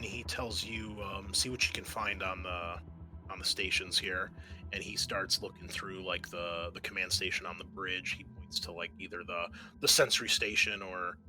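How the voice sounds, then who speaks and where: A man working as game master, narrating a roleplaying session in a halting, conversational way. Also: deep voice, sharp tone.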